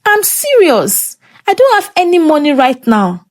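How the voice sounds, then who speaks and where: A woman's voice: extremely high pitch, huge pitch swings, measured pace, voice pushed up, heavily acted. A Nigerian woman storyteller voicing an earnest, wounded younger sister who pleads plaintively. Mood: serious, flat refusal.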